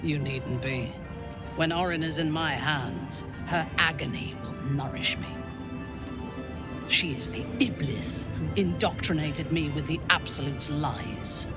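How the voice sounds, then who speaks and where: A man's voice: on the high side, loud music behind the voice, natural voice. Man playing a vengeful cold vampire. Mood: cold resolve. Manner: measured, bitter, menacing. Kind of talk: video game cutscene acting. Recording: game audio with very loud score.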